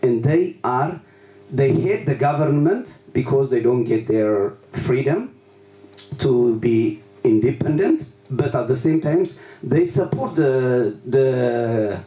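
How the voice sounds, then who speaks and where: A man asking an interview question, talking in a halting way with many restarts. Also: medium pitch, animated, recorded from a distance, dull audio. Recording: roomy, from away from the mic.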